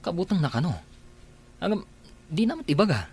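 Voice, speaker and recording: A man's voice: high-pitched, very animated, a little fast, a little mellow, slightly rough, heavily acted. A man playing an earnest, deferential farmhand. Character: loyal farmhand, uncle figure to the boy. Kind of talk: radio drama acting.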